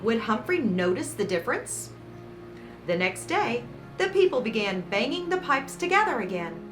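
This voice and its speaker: A woman reading a picture book aloud to children as host, with dramatic emphasis and warm, encouraging asides. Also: a little high, big pitch swings, normal speed. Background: background music.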